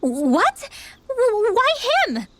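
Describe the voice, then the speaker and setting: A woman's voice: very high voice, big pitch swings, drawn-out words, fairly bright tone, a little hiss or hum, exaggerated voice. An actress playing a feisty, sassy, defensive teenage mage girl in a video game cutscene. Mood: huffy. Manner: snippy, dismissive. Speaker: voice actor in a fantasy video game.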